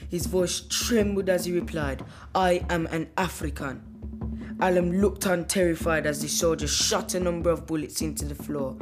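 A young man giving a slow, hushed, suspenseful dramatic reading from a novel. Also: fairly high pitch. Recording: music behind the reading.

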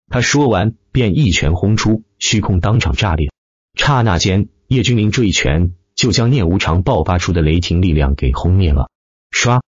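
Low, husky male storyteller, dramatic delivery. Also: dry recording, muffled recording. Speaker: audiobook narrator. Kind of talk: dramatic scripted narration of a fantasy web novel.

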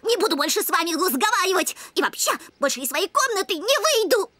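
A woman's voice: extremely high pitch, very animated, quick pace, loud music behind the voice. A woman voicing a touchy, stubborn little cartoon dog girl, huffy and emphatic in a childish way. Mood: indignant.

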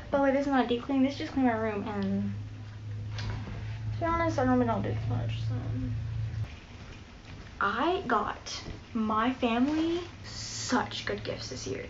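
A young woman vlogging while she tidies her bedroom, chatty and rambling, mock-dramatic about small things. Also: sits high. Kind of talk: casual clean-with-me vlog chatter. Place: carpeted bedroom, indoors. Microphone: phone camera set across the room. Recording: echoey bedroom, dull phone audio with steady hiss.

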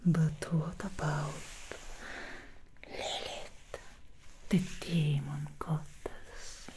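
A woman's voice: deep voice, fairly even intonation, a little mellow. A woman whispering as a sly demon stylist. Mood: alluring. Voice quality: breathy unvoiced whisper. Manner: slow, teasing, hums between lines. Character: alluring female demon hairstylist, sly and soothing. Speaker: ASMR roleplayer. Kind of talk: whispered ASMR roleplay. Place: small dressed studio set indoors. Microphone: close sensitive microphone.

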